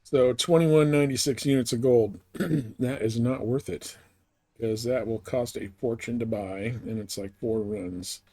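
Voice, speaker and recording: A man's voice: medium pitch, fairly even intonation, measured pace, dark tone, no room sound. A man giving casual live commentary as he plays a video game, muttering offhand to himself.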